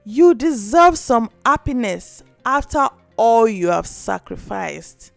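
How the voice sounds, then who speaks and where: A woman's voice: a little high, sing-song, slow pace, gravelly, no room sound, faint music behind, full character voice. A woman voicing a jealous, scheming sister in a folktale, coaxing with false sweetness. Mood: falsely sweet. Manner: sugary, coaxing.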